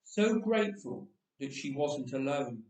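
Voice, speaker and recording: Man as young villager back from the dead, chatty, wry understatement, lively intonation, distant mic, echoing church. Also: mid-range voice, a little slow, pitched below the performer's own voice. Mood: wistful. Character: young man of Bible times raised from death, chatty, wry. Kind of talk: dramatic first-person storytelling monologue. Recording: roomy church echo, distant microphone.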